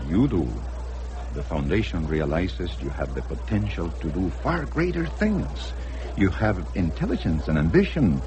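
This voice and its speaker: Deep-voiced, suave Mexican assistant. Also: male voice, very animated, a little slow. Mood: smooth, persuasive. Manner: formal, precise, courteous. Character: suave, measured Mexican personal assistant to a tycoon. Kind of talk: radio drama acting. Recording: noisy old radio drama recording.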